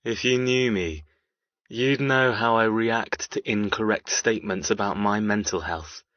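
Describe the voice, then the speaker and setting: Man acting a cold, low-voiced menacing villain. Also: unhurried, dry recording, lo-fi sound, natural voice. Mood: quiet menace. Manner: controlled, quietly threatening, formal, never raising his voice. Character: cold, menacing, controlling male villain and kidnapper. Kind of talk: audiobook character dialogue.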